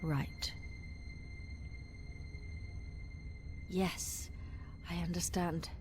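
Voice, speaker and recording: A woman's voice: fairly low pitch, flat delivery, slow pace, over music. An actress playing a defiant adventurer woman drawn to power in a video game cutscene. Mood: subdued.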